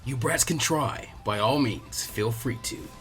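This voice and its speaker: A man voicing a gruff, derisive, smirking ninja instructor in an anime fan story. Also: medium pitch, measured pace, fairly bright tone, soft background music, voice pushed down, natural voice.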